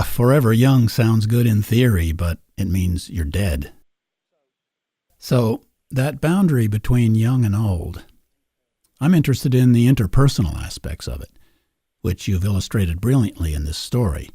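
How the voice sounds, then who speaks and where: A man with a lowish, husky voice hosts a reflective literary interview. He poses thoughtful questions in a deliberate way, with a dark, relaxed tone, recorded very dry. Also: dry recording. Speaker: interviewer, show host.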